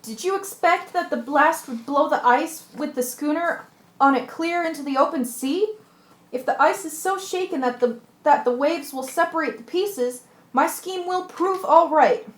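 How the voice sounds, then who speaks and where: A woman's voice: sits high, moderate pace, close to an everyday voice. A woman playing a resolute male ship's mate in an amateur radio drama, earnest and commanding, sometimes stumbling over lines. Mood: firm, earnest. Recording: echoey room.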